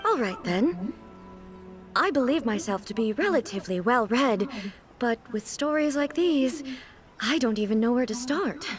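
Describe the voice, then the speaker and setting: A stately goddess-ruler speaks in a formal, measured way, and her slightly raised voice rises and falls a great deal. She takes everything literally and earnestly. Game music is clearly heard behind her. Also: on the high side, animated. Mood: composed. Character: stately female god-ruler, earnest, formal and puzzled by fiction. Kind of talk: video game voice acting.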